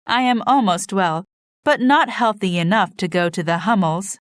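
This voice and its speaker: A woman's voice: medium pitch, lively intonation, normal speed, no echo, clean studio sound, lightly acted. A woman voicing a caring young sister in a storybook reading, in a plain, near-natural voice. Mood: wry.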